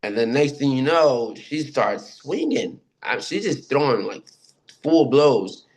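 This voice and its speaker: A man shares personal experiences in a casual discussion. His voice is deep, and he tells things haltingly, often repeating a phrase before he moves on. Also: very animated, darkish tone, husky. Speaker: participant sharing personal experiences.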